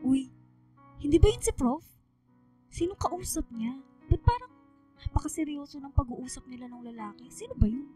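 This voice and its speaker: A woman's voice: high voice, lively intonation, normal speed, pauses often, dark tone. A woman playing a sassy, nosy teenage best friend with a Filipino accent, teasing and slangy in a radio drama. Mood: gossipy. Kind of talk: radio drama acting, casual banter. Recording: distant microphone, music bed underneath.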